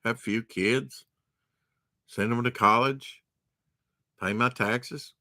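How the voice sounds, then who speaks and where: A man's voice: mid-range voice, fairly even intonation, slow, sharp tone, no echo, studio quality. A man hosting a late-night storytime, reading a storybook aloud and breaking off into rambling, sarcastic asides. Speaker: storytime host.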